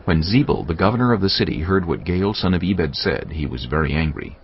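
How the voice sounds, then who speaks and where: A man's voice: sits low, lively intonation, fairly quick, slight background noise. A man narrating a dramatised audio Bible in a solemn storytelling manner. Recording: muffled, band-limited audio with music. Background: music bed.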